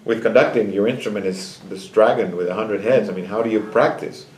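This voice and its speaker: A man's voice: lowish voice, fairly quick, darkish tone, a little hiss or hum. A middle-aged man from Nicaragua, a music director, answering interview questions in a thoughtful, storytelling way with small restarts. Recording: echoey concert hall.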